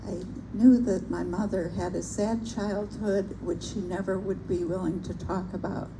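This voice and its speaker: An older woman with a soft, gentle voice, reading a favorite poem aloud at a bookstore event and talking about it reflectively. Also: mid-range voice, steady tone, measured pace. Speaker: poetry reader at a public reading. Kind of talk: reciting a poem with personal remarks. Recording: noisy live event recording.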